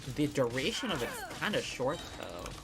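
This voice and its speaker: A man streaming a game with casual, halting commentary, tossing in reactive asides. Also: mid-range voice, sing-song. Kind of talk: casual live gameplay commentary. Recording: game music under the voice.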